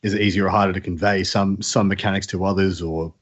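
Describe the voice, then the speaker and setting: Male podcast co-host, low and husky, hesitant, dull lo-fi audio. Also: steady tone. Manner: halting, hesitant questions. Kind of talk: casual podcast chat.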